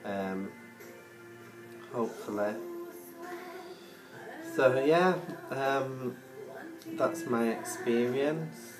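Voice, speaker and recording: A man's voice: medium pitch, expressive, drawn-out words, long pauses, rough recording. A man with a Yorkshire accent telling a story to camera in a hesitant, earnest way, with lots of ums. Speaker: vlogger. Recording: loud music playing underneath.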